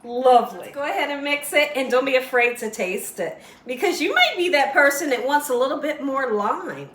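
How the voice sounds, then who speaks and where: Home cook host, woman, high bright voice, slightly hoarse, chatty, echoey kitchen with the mic set back. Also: expressive, normal speed, far from the mic, a little hiss or hum. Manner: chatty, encouraging, talks straight to viewers. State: slightly hoarse, losing her voice. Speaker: cooking show host. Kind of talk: casual home cooking tutorial.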